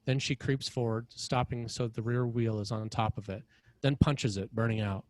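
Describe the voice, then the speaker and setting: A man's voice: medium pitch, fairly even intonation, normal speed, darkish tone. A man reads scripted scene directions as the narrator of a screenplay table read.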